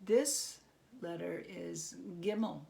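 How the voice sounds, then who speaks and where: A woman voicing a sly, unhurried man who toys with his opponent, as a storybook character. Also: lowish voice, creaky, dark tone, heavily acted. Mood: instructive, sly. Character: sly, unhurried man outwitting a goblin at dreidel.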